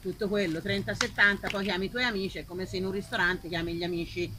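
A woman's voice: medium pitch, steady tone, fast. A woman putting long, rambling, insistent questions in an informal discussion at a live event. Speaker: festival organiser questioning the guest. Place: outdoor evening event in an olive grove. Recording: noisy live event recording.

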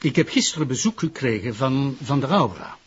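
An actor playing a genial, formal public prosecutor with a Flemish accent and a husky voice, pausing as he talks in a radio drama. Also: medium pitch, expressive. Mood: confiding, formal. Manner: jovial, hesitant pauses, formal. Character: genial, smooth-talking male public prosecutor, authoritative host. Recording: dull, muffled radio drama recording.

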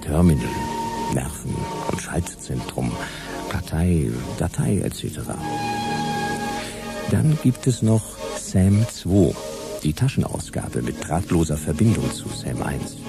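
An actor playing a grumpy, nostalgic private detective of the future in a German radio play, wry and laconic. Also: male voice, extremely low pitch, creaky, full character voice. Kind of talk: German radio play, first-person noir narration. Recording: loud music behind the voice.

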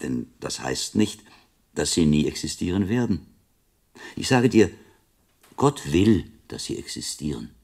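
A scholarly medieval friar in a radio drama. The voice is low and raspy, with wide swings of intonation. He teaches with declamatory conviction, like a visionary mentor sure of his ideas. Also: very animated, unhurried, long pauses, a little mellow, full character voice. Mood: assured, emphatic. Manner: didactic, declamatory. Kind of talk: radio play acting.

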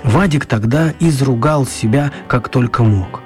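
A man narrating a children's radio story in a measured, expressive way with gentle humour. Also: mid-range voice, soft background music.

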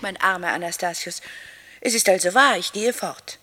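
A woman's voice: on the low side, normal speed, pushed and bright. An actress playing a theatrical, romantic runaway wife declaiming her farewell letter in a 1960s German radio play. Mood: tender, melodramatic. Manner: melodramatic, declamatory.

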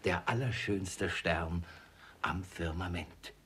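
A man's voice: deep voice, slow pace. Radio comedy: miserly old father, irascible and unctuous, foolish suitor, noisy recording. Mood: fawning. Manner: blustering, unctuous flattery. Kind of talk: classic comedy radio play acting.

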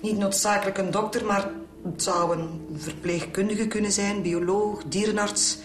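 An actress playing a matter-of-fact police pathologist in a Flemish radio drama, clinical and dryly blunt. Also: female voice, medium pitch, steady tone, moderate pace, echoey room, noisy background, lightly acted.

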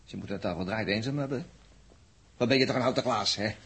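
Radio play role: a short-fused spaceman, quick-spoken and very hoarse-edged, grumbles and snaps. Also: fairly low pitch, a bit bright. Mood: restless, grumbling. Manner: blunt, grumbling, exclamatory. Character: gruff, impatient, hot-tempered spaceship crewman. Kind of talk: 1960s radio drama acting.

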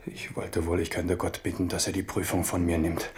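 Deep-voiced, brooding warrior king. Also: little pitch movement, moderate pace, background noise. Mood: brooding, resolute. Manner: reflective monologue. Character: grave, brooding warrior king in his thirties. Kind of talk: German radio play acting.

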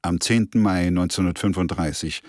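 A man with a husky voice reading scripted documentary narration in a radio play. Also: low voice, fairly quick, a little mellow, no room sound, clean studio sound, natural voice.

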